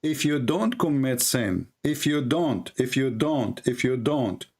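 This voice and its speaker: A man's voice: medium pitch, expressive, unhurried, darkish tone. A man whose first language is Arabic, hosting an argumentative live debate in non-native English, insistently repeating his phrases. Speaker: host, religious debater.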